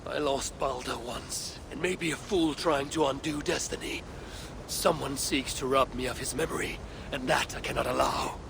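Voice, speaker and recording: Gravelly, proud, obsessive warrior god. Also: male voice, mid-range voice, fairly even intonation, normal speed. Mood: resolute, solemn. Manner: solemn, declamatory, stubborn. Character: proud, obsessive warrior god seeking his lost son. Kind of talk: dramatic video game cutscene acting. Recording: loud background noise of game ambience.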